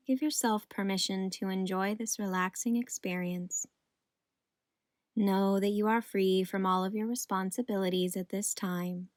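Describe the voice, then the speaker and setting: Slow, soothing woman guiding meditation. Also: medium pitch, dry recording, clean studio sound. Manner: soothing, gentle, unhurried. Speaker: meditation guide. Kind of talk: guided meditation narration.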